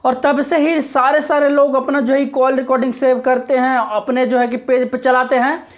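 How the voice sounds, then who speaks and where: Female commentator, high voice, informal online news explainer, insistent and repetitive. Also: level tone, moderate pace. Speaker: social media commentator.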